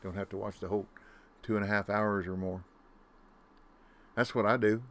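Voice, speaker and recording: A man with a deep voice giving casual commentary on his own gameplay, muttering to himself and humming nonsense tunes. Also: level tone, moderate pace, fairly bright tone. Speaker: gaming YouTuber.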